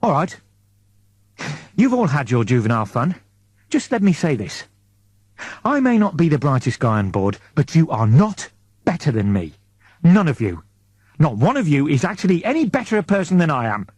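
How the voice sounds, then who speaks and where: A man voicing a weary, insecure ordinary man in an audiobook, defensive and halting when flustered. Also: fairly high pitch, very animated, darkish tone, husky, dry recording, voice pushed up. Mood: angry, fed up. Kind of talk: audiobook character dialogue.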